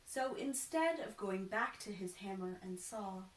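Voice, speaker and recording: Echoey, slow-paced woman reading a storybook. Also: mid-range voice. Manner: animated, deliberate, acts out dialogue. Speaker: costumed storytime reader. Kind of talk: expressive children's storybook read-aloud. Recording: echoey room, distant mic, faint noise.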